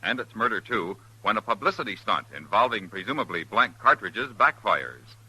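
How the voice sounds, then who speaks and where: A man in the role of a 1950s radio announcer. His voice is very bright and forceful, with a husky grain. He reads the scripted promo with lively, dramatic swings. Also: lowish voice, moderate pace, rough recording. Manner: dramatic, polished delivery.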